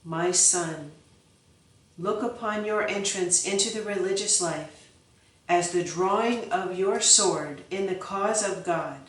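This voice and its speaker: A woman's voice: lowish voice, takes it slowly, far from the mic. A woman reading the part of a giant medieval lord, a stern and fervent father who gives solemn, fierce commands in a storybook. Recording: echoey room, from off-mic.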